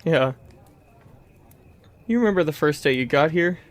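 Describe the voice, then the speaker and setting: A man's voice: a little high, moderate pace, close to an everyday voice. A man playing a grieving young warrior. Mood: somber. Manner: steady, quietly reassuring. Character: young male warrior grieving his father.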